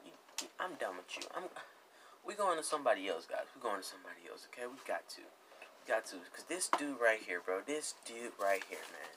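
A man's voice: on the low side, big pitch swings, brisk, background noise. A young man giving casual, slangy reaction commentary, repeating himself and exclaiming jokingly. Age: young adult. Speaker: reaction video host. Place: indoor room with green screen.

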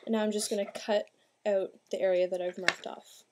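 A woman's voice: medium pitch, flat delivery, brisk. A young adult woman giving an informal, plain-spoken step-by-step craft demonstration, recorded indoors at home. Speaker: craft tutorial host. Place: small room at home, indoors. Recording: clear background noise, amateur home video.

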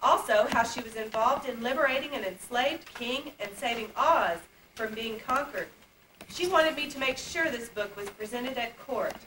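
A woman's voice: on the high side, moderate pace, bright, forceful tone. Lady playing sweet reassuring young princess, puppet show, roomy sound, hissy old tape. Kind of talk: amateur stage puppet play. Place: indoor puppet stage, far camera. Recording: distant, echoey, noisy old camcorder tape.

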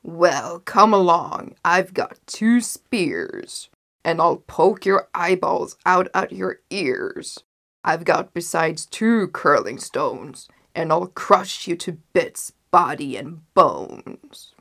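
A woman acts out the biggest billy goat of a fairy tale. It is loud, hoarse and fearless, hurling slow, heavy threats. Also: lowish voice, animated, full character voice. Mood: defiant, threatening. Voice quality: ugly hoarse voice.

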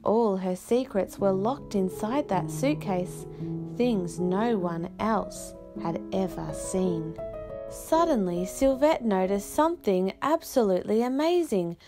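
A woman reading a children's picture book aloud with expressive, careful, unhurried phrasing and dramatic pauses. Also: medium pitch, over music.

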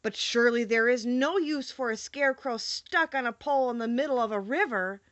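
Woman voicing a plaintive, high-pitched straw scarecrow. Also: brisk, a bit bright, no room sound, natural voice. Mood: forlorn. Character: worried straw scarecrow, earnest and self-pitying.

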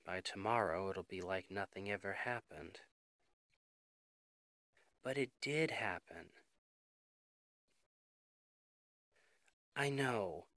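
A man playing a worried, devoted young boyfriend in an intimate ASMR roleplay, speaking in an earnest, emotional, halting way. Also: sits low, a bit bright, no room sound, studio quality, full character voice. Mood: subdued, tender.

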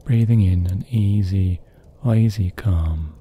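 Super slow guy doing sleep hypnosis, really dark mellow voice, soft and gentle, pauses a lot. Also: sits low, slightly rough. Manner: soothing, gentle, hushed delivery with long pauses. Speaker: sleep meditation guide and hypnosis narrator. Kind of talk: guided sleep meditation, slow scripted narration.

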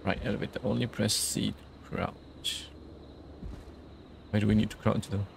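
A man's voice: fairly low pitch, flat delivery, measured pace, creaky, noisy background. A man giving casual let's play commentary, thinking aloud and reading out instructions as he goes. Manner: murmured thinking aloud, reads on-screen instructions. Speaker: gaming video host.

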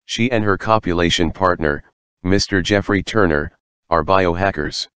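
Man voicing a cold, deep-voiced artificial intelligence. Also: normal speed, fairly bright tone, clear, resonant tone, no echo. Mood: cold, insistent. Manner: clipped, formal, emotionless. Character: cold, menacing artificial intelligence delivering dire warnings. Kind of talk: audiobook character voice.

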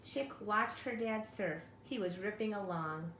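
Slow-paced woman reading to children, roomy sound. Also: mid-range voice. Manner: expressive, addressing children with questions. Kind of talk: read-aloud picture book narration for young children. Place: living room at home, indoors. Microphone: camera or phone a short distance away. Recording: roomy home recording with faint noise.

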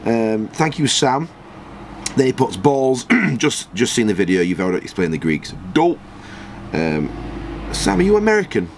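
Man in his thirties, rambling game-channel host with a lively, bouncing voice, some faint hum behind. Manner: chatty, rambling, quick jumps in pitch when surprised. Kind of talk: casual game commentary and comment reading.

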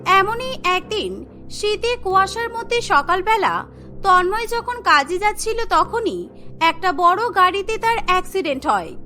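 A woman's voice: extremely high pitch, pushed and bright. A woman narrating a scripted cartoon story as its storyteller. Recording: music behind the voice.

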